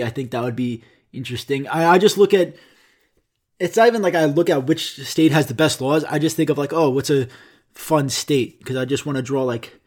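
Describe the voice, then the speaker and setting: A young man who grew up speaking Greek, rambling casually and swearing while answering viewer questions. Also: on the high side. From United States. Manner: rambling, profane, self-mocking. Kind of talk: casual solo Q and A.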